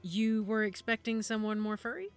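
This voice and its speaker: A woman playing a composed, guarded werewolf pack matriarch in an audio drama, measured and wry. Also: mid-range voice, steady tone, clean tone, soft background music, natural voice. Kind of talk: naturalistic audio drama dialogue.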